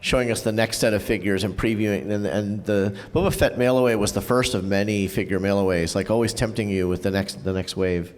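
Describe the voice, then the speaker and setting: A man co-presenting at a convention panel, talking casually with halting restarts and fillers. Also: fairly low pitch, fairly even intonation. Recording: reverberant hall.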